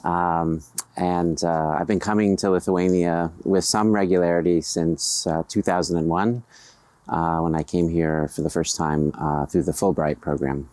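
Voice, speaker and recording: A middle-aged American man, a writer, answering interview questions in a measured, hesitant way with many pauses and fillers. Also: low-pitched, fairly even intonation, a little mellow, dry recording. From United States.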